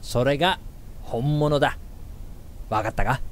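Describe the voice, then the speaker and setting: A man playing a gruff, preachy father in a radio drama, speaking in a blunt, emphatic, declamatory way. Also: mid-range voice, unhurried, slight background noise, close to an everyday voice. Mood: stern, exhorting. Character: gruff, preachy father lecturing his young son. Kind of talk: scripted radio drama narration and dialogue.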